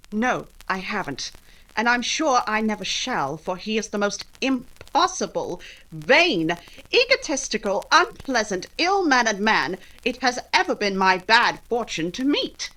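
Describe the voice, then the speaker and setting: A woman playing a spirited, proper young gentlewoman with firm, emphatic delivery in a period radio comedy. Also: mid-range voice, big pitch swings, unhurried, rough recording. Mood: indignant.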